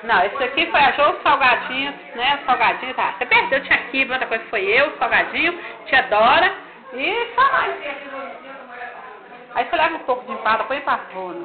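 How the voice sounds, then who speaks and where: Mulher tagarela numa festa de família, som fino de celular, muita gente em volta. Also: female voice, sits high. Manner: lively, chatty, quick exclamations. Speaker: family member at a party. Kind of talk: casual family chat. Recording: echoey home video with tinny sound and crowd. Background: crowd of relatives talking.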